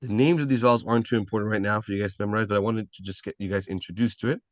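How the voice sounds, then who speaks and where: A man's voice: lowish voice, fairly even intonation, racing, dry recording. A man teaching a live online beginner language class, explaining step by step, repeating key points and often checking with "right?". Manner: repetitive, checks understanding with frequent "right?".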